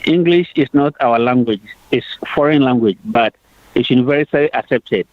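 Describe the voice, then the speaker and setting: A man's voice: mid-range voice, moderate pace, no room sound. A man hosting a radio panel discussion, pressing his guest with challenging questions. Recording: dry tinny phone-like radio sound.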